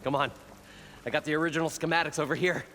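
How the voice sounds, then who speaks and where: An actor playing an eager, chatty salvager in a video game cutscene. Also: male voice, a little high, steady tone, fast, bright, forceful tone, a little hiss or hum. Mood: friendly. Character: eager older male salvager, folksy and chatty.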